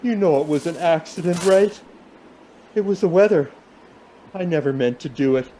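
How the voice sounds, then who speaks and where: A man playing a stubborn, guilt-ridden husband in a radio play, halting as he talks his way through a snowstorm. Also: fairly high pitch, mellow, slight background noise, close to an everyday voice, poor audio. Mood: uneasy, pleading. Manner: halting, muttering to himself.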